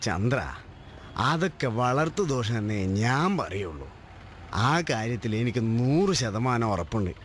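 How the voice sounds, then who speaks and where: A middle-aged male actor's father, proud and trusting, with a medium-pitched, animated delivery. He sounds assured and advising in a radio play, over faint road noise. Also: a little slow, close to an everyday voice. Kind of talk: radio drama dialogue. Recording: vehicle noise behind voice. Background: moving vehicle ambience.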